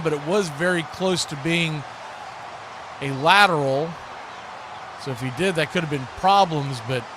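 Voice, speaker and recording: A man's voice: on the high side, noisy background. A man giving live football play-by-play commentary in short, clipped phrases. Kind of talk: live American football radio-style commentary.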